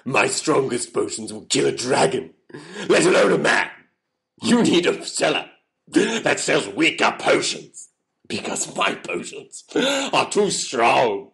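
A man's voice: lowish voice, moderate pace, lightly acted. A man voicing a haughty potion seller in a comic cartoon sketch, pompous and dismissive. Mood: stern warning. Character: haughty, stubborn potion seller refusing a customer.

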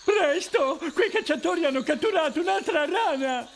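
A man's voice: very high voice, expressive, normal speed, vocal fry, a little hiss or hum, heavily acted. A man voicing a tiny, eager male firefly guide in a video game, urgent and rallying. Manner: exclamatory, rallying. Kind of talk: video game character acting. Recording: loud swamp sounds, crickets and frogs.

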